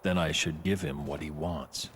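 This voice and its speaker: A man acting a cold, calm, menacing villain in a video game cutscene, speaking in a deliberate, taunting way. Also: a bass voice, slight background noise.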